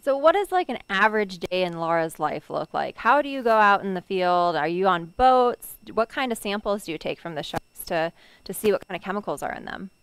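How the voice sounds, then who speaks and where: Young woman host, smooth resonant voice, dry studio sound, upbeat questions. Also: medium pitch, big pitch swings, brisk, a bit bright, no echo. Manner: upbeat, asks guided questions. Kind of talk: hosting an educational program. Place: studio set. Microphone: headset.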